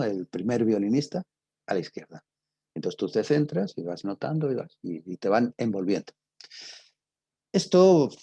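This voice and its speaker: Male teacher, Castilian Spanish accent, dark and husky tone, very dry close sound, halting explanatory delivery that checks in with listeners. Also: fairly low pitch, no room sound. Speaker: teacher of radio drama. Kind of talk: informal spoken lecture.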